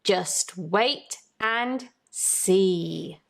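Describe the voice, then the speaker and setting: A woman's voice: mid-range voice, drawn-out words, vocal fry, full character voice. A woman voicing a kindly, clever lighthouse keeper's wife in a storybook. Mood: sly, pleased.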